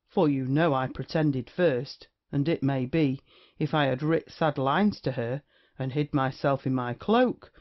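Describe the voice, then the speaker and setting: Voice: medium pitch, lightly acted. A performer playing a swaggering young rake, dull lo-fi sound. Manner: archaic, swaggering, flippant speech. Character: brash, witty young male rake, swearing casually. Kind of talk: period comedy audio play acting. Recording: dull, lo-fi audio.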